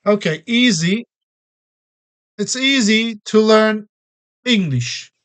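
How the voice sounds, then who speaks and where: Slow, patient male English teacher. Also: sits high, fairly bright tone. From Turkey. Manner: patient explanations with many everyday examples and frequent fillers. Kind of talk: recorded classroom-style English lesson.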